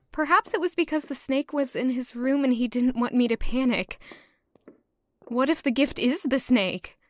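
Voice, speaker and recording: Young female castle servant, slightly high-pitched, nervously musing storyteller for a fantasy audio drama. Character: young female castle servant, anxious, curious, chatty narrator. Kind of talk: first-person audio drama narration and dialogue.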